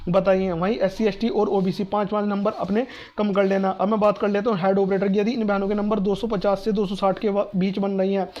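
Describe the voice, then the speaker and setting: A man's voice: high-pitched, level tone, fairly quick, a little mellow, muffled recording. A man with a North Indian accent giving informal, explanatory advice to students, repeating his points, reassuring listeners and asking questions that he answers himself. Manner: repetitive, reassuring, asks and answers own questions. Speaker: exam-advice video host.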